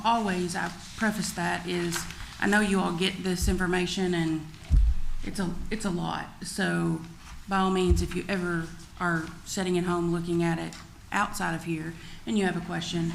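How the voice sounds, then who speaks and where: A woman's voice: fairly low pitch, vocal fry. A woman presenting an informal report as a finance officer at a public meeting. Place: indoor public meeting room. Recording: echoey meeting room, faint background noise.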